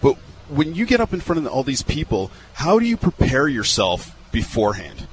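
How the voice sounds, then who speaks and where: A man's voice: mid-range voice, huge pitch swings, normal speed, slight background noise, low-quality recording. A male radio host chatting upbeat and casual in a live interview at an outdoor fairground. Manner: upbeat, chatty, repeats words.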